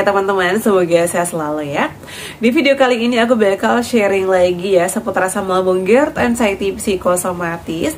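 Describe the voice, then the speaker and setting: Chatty woman vlogging with faint background hiss. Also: medium pitch. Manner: chatty, friendly, many fillers and restarts. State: recovering from cough and lost voice. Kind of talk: casual health vlog talk to viewers.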